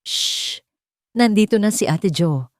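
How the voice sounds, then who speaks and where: A woman's voice: mid-range voice, animated, slow, no room sound, clean studio sound, pitched above the performer's own voice. A woman voicing an anxious, tender young nanny in an audio story, murmuring and trembling. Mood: tender, soothing.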